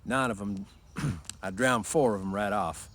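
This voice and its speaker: Man reading a gentle quiet mule skinner, low voice, slow and calm. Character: calm, dignified older male mule driver.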